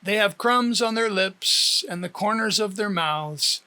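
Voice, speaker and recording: A man reading his own story as a first-person audiobook, with expressive storytelling. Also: high voice, a little slow, clear, resonant tone. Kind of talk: first-person scripted narration.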